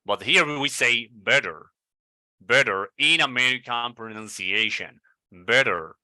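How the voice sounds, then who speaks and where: A Venezuelan man with a Venezuelan Spanish accent coaches English pronunciation, saying each model word several times. His speech is very slow and deliberate, and his voice is strikingly bright. The recording is dry, with little room sound. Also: mid-range voice, dry recording. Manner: drills words slowly and repeats them, deliberate. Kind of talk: pronunciation lesson with slow repeated model words.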